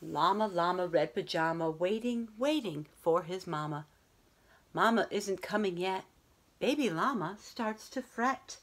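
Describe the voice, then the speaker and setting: A woman's voice: fairly low pitch, lively intonation, measured pace. A middle-aged woman reading a picture book aloud to children, expressively, drawing out some words. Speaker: read-aloud host. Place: room at home, indoors.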